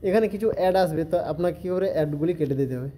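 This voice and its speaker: A man's voice: a little high, very fast, dark tone. A man giving a step-by-step spoken app tutorial in an instructional, repetitive way. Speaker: tutorial presenter.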